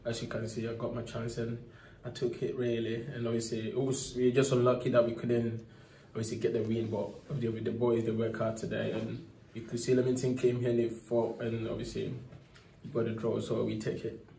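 A young man, a footballer, speaks softly after a match, filling his answers with fillers and "obviously", into a phone held close in a press room. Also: mid-range voice, monotone, a little fast, gravelly, slight background noise. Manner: soft-spoken, many fillers, leaning on "obviously". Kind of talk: post-match interview. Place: indoor press room in front of a sponsor board. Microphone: phone held near by the reporter. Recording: echoey room.

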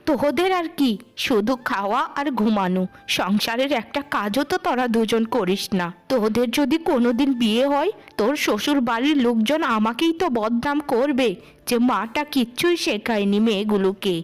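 A woman's voice: a little high, lively intonation, normal speed, lightly acted. A woman voicing a fed-up village mother of three daughters in a cartoon story, with a nagging manner. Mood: scolding.

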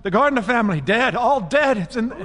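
A stammering frantic neighbor. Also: male voice, very high-pitched, animated, brisk, fairly bright tone. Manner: stammering, panicked. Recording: reverberant radio drama with music.

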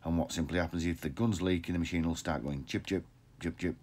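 A man's voice: deep voice, fairly even intonation, quick pace, darkish tone. A middle-aged man in a workshop, explaining machines plainly into a phone held close below his face, repeating words and restarting often. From England. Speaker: pressure washer business owner. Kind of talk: informal how-to explainer. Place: indoor workshop with equipment shelves. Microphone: phone camera held close below the face.

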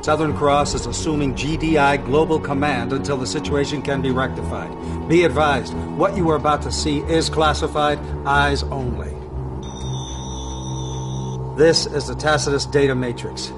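Stern middle-aged general, male actor, businesslike and formal game briefing over clear music, voice pitched a little high. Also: lightly acted. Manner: authoritative, formal military briefing. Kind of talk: scripted game mission briefing.

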